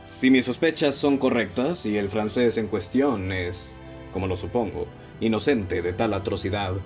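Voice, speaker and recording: Man acting a low-voiced, methodical detective. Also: a little mellow, lightly acted. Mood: composed, analytical. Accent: Mexican. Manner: formal, measured, explanatory. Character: composed, methodical male amateur detective, confident and analytical. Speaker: amateur radio drama actor. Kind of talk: radio play acting. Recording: dull lo-fi audio with music bed.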